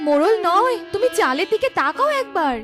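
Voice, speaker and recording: A woman voicing a poor fox wife in a cartoon, anxious but caring, with a homely, expressive manner. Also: very high-pitched, fairly quick, soft background music, full character voice. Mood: excited. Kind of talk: cartoon character voice acting.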